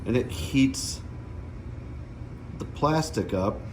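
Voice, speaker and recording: A man's voice: medium pitch, lively intonation, extremely slow, slight background noise. A middle-aged man giving a casual hands-on tutorial, explaining things step by step and checking in as he goes. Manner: explanatory, often checking with "okay?". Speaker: DIY vlogger.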